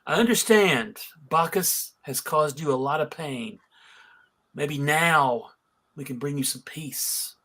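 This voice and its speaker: Performer playing a husky warrior woman heroine. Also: low-pitched, slow pace, fairly bright tone, full character voice. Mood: gentle. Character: brisk, commanding warrior woman heroine, composed and compassionate. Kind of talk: live table read of a fantasy TV script.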